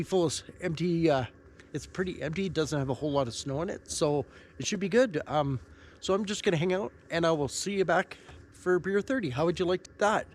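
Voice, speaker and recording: Male vlogger, nasal from a cold, easygoing and rambling, slightly high voice, outdoor ambience. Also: slight background noise. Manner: relaxed, rambling, with fillers and restarts. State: congested, nasal from a head cold. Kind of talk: casual vlog talk to camera. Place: outdoors at a snowy campsite.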